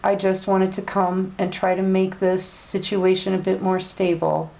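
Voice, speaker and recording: Woman playing a tentative man, polite, hedging, slow-spoken. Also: medium pitch, flat delivery, gravelly, mellow. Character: hesitant man reaching out to a woman.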